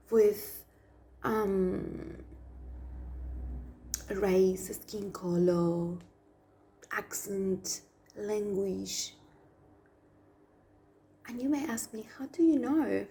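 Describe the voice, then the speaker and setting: Slow-spoken Argentine woman, soft whispers. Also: medium pitch. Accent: Argentine Spanish. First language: Spanish. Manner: slow, soft, drops to whispers on some words. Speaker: spiritual channel. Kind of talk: spiritual message to viewers.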